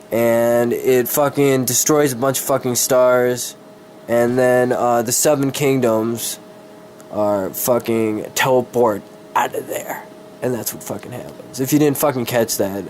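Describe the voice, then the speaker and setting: A man with a cold doing a dramatic story reading, halting and easily distracted, with casual swearing. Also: mid-range voice, darkish tone, slight background noise. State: sick with a cold.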